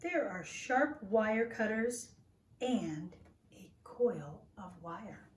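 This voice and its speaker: Woman reading a picture book to little kids, really slow, bit far from the mic. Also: mid-range voice, animated. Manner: deliberate, simple explanatory phrasing for small children. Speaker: teacher reading a picture book to young children. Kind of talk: children's story read-aloud. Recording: roomy echo, distant mic, faint noise.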